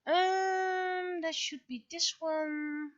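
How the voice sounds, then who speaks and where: A woman livestreamer chatting casually and haltingly, muttering to herself as she thinks aloud, on dull lo-fi audio. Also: extremely high pitch, steady tone, no room sound. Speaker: livestreamer, webcomic artist.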